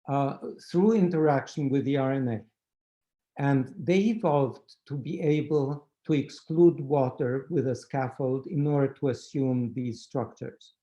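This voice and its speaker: Mellow-voiced Romanian-born male lecturer. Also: on the high side. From Romania (Transylvania). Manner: explanatory, frequent "right?" checks with the audience. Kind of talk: scientific lecture.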